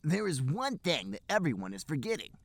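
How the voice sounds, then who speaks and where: A man's voice: fairly high pitch, big pitch swings, a little fast, no echo, pitched above the performer's own voice. A man voicing an earnest, heroic young cartoon hedgehog in a comedic fan fiction reading. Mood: resolute.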